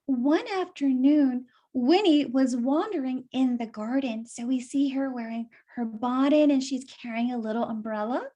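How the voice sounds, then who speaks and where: A woman with a high voice tells a children's story aloud. She stops to explain things in simple words and asks her young listeners questions. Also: normal speed, hardly any pauses, warm tone.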